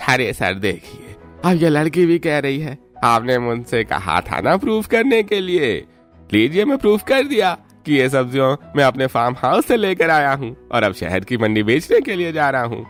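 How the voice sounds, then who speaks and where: A man's voice: mid-range voice, sing-song, normal speed, faint music behind, natural voice. A man voicing an honest farmhouse uncle who drives a vegetable truck in a cartoon story, earnestly explaining himself. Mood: protesting.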